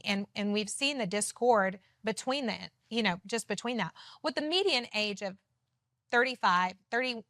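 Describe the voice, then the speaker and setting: A woman with a loud voice, speaking as a city council candidate in an earnest, emphatic TV interview. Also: mid-range voice, dry recording, studio quality. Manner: emphatic, earnest campaign talk. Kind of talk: TV studio interview.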